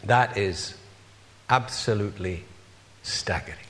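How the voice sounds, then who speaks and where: A man's voice: on the low side, unhurried, a bit bright, in a large hall. A man preaching a sermon, earnestly putting rhetorical questions to his congregation.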